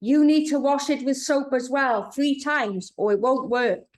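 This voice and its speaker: A woman's voice: high-pitched, unhurried, pitched above the performer's own voice. A woman voicing a scheming, bossy monster villain from a children's storybook, with a commanding, taunting manner.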